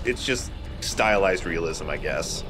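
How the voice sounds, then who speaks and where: Lively man doing game commentary. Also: deep voice, a little slow, over music. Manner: explanatory, conversational. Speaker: host of a Let's Play. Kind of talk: casual video game commentary. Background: video game sounds.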